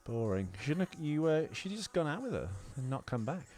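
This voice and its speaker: A man's voice: a little high, big pitch swings, a little fast, darkish tone. A man in casual film podcast banter, teasing and opinionated. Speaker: podcast co-host. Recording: music playing behind the talk. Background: film soundtrack music.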